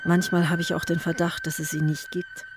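A woman's voice: fairly low pitch, huge pitch swings, brisk. An anguished waiting woman, music behind. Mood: brooding. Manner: stark, fragmented, incantatory phrases. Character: anguished woman waiting for her starving missing man. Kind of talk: literary radio drama monologue.

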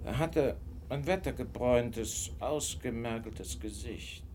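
An actor playing a detective who recounts an old case in a radio drama, reflective and deliberate. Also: male voice, medium pitch, darkish tone, slight background noise, natural voice. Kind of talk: German radio drama narration.

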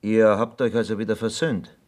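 A man's voice: on the low side, fairly even intonation, moderate pace, darkish tone, close to an everyday voice. A man playing a matter-of-fact questioner in a radio play, probing in formal, plain sentences.

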